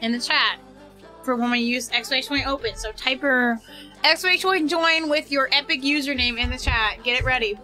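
A young woman live-streaming a game from home, excitable and quick to laugh and shout, and losing her voice. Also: sits high, faint music behind, poor audio. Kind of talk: casual live-stream chat while gaming.

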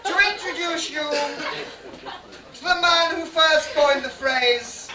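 High-voiced woman compere, distant and echoey. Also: slow pace, pushed and bright, some chatter behind. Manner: theatrical, teasing. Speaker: master of ceremonies. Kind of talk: comic pantomime introductions to live audience. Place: small dim stage in a theatre bar. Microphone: far camera. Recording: distant echoey noisy bar stage recording.

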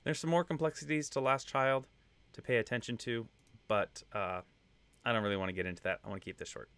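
A man's voice: mid-range voice, quick pace, clear, resonant tone, dry recording. A man in his thirties with a slight Texan accent, casually explaining code to viewers on a screen-share, with loose fillers and asides. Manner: relaxed, conversational explaining with fillers. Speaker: web testing instructor. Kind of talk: screen-share coding tutorial.

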